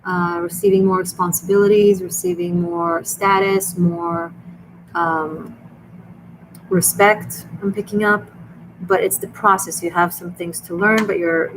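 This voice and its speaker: A woman's voice: fairly low pitch, a little hiss or hum, rough recording. Israeli woman giving a chatty tarot reading. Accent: Israeli Hebrew. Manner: earnest, chatty, with many restarts and fillers. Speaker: tarot reader and host. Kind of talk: live stream reading for viewers.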